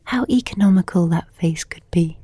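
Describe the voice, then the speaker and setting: A woman giving an intimate scripted literary narration in a hushed, measured manner, often close to a whisper. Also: fairly low pitch, slightly rough, no room sound. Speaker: narrator and performer of an audio playlet.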